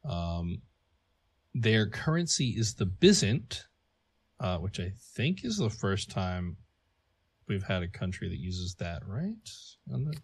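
A man's voice: deep voice, sing-song, takes it slowly, slightly rough. A man whose first language is not English, co-hosting a casual podcast and talking through notes with many fillers. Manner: chatty, filler-heavy, laughs at own jokes. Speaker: podcast co-host. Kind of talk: casual podcast lore talk.

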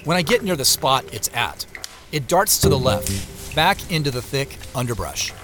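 A man with an American accent playing a reflective, wry solitary traveler who narrates an audio drama in the first person, with measured storytelling and muttered casual asides. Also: medium pitch, sing-song, lightly acted. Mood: quietly tense. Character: male solitary traveler, reflective, wryly talking to himself. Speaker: narrator and lead character. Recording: music behind the voice.

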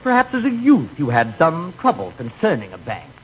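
An actor playing an exaggerated comic supporting character in a 1940s radio comedy. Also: male voice, a little high, huge pitch swings, moderate pace, breathy edge, full character voice. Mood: soothing. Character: fussy bank cashier and eager ship's stoker. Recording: muffled 1940s radio with studio audience.

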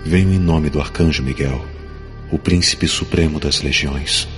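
Guy doing a super deep stern archangel, cold and formal, audiobook style with music behind. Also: normal speed, in a large hall. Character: stern, cold, commanding male archangel in gold armor. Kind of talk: dramatized audiobook acting.

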